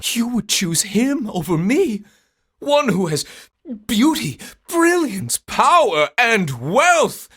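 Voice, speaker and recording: A woman's voice: on the high side, sing-song, slow pace, fairly bright tone, breathy edge, heavily acted. A woman voicing a vain, grandiose villain in a video game, pompous and theatrical. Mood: condescending, seductive. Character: vain, grandiose, spurned villain who craves devotion. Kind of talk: dramatic video game voice acting.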